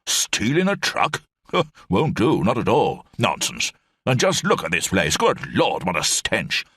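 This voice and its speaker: A man's voice: lowish voice, huge pitch swings, measured pace, bright, forceful tone, breathy edge, no room sound, clean studio sound, voice pushed up, full character voice. A man voicing an elderly, bumbling brigadier with clipped, rambling upper-class speech. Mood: jolly.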